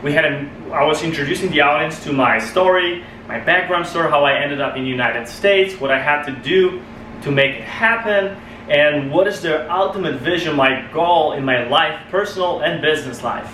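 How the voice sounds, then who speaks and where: Man, Polish accent, bright tense voice, earnest club speech, heard from a distance. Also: on the high side, moderate pace, slight background noise. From Poland. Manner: earnest, restarts phrases, non-native grammar. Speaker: club speaker. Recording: echoey room, mic far off.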